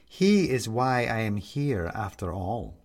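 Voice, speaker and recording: A man's voice: on the low side, very animated, slow, darkish tone, heavily acted. A man voicing the serious, mournful ghost of a young woman in a storybook, speaking formally and without humour.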